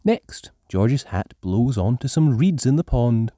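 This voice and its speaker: A storyteller hosting and narrating a children's story in an animated style. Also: medium pitch, slow pace, dry recording. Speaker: storyteller and channel host.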